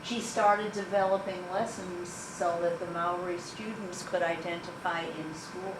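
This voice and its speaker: A woman's voice: fairly low pitch, fairly even intonation, measured pace. Enthusiastic woman in an echoey room. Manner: enthusiastic about a book. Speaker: audience member. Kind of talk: discussion comment. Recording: echoey room, distant mic, noisy.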